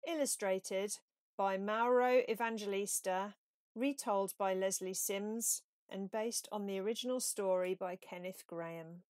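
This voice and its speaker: A woman reading a children's storybook aloud in a measured, expressive way. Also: medium pitch, no echo, clean studio sound. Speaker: storybook reader. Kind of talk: scripted children's story narration.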